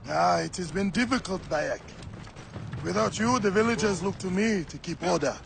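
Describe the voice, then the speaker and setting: A man's voice: high-pitched, moderate pace, gravelly, noisy background. A male actor playing a beleaguered Egyptian villager and loyal friend in a video game, speaking earnestly and expressively. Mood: weary, grave. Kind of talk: dramatic video game acting.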